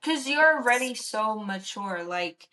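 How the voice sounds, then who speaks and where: A woman's voice: fairly high pitch, lively intonation, measured pace, bright, forceful tone, poor audio. A woman in her twenties co-hosting a casual podcast, chatty and supportive, filling her speech with "like" and "you know". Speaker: podcast co-host. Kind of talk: casual chat.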